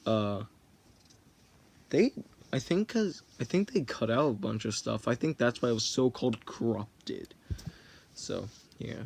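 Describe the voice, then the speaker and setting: A man's voice: mid-range voice, animated, unhurried, gravelly, long pauses, a little mellow, slightly rough. A teenage boy rambling through a story in a casual, jokey way, often stopping to correct himself. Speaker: vlogger.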